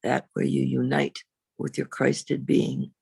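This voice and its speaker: A woman reverend leading a guided meditation in a slow, soothing, unhurried way, with long pauses. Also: monotone, a little mellow, dry recording, studio quality. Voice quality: low, husky, creaky.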